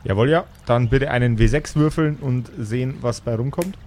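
A man's voice: medium pitch, normal speed, darkish tone. Male game master, noisy recording. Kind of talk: improvised tabletop game narration.

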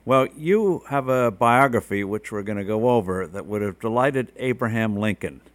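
A man hosting an interview show, giving political commentary and asking questions in a measured, conversational way. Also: on the low side, animated, no room sound. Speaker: host.

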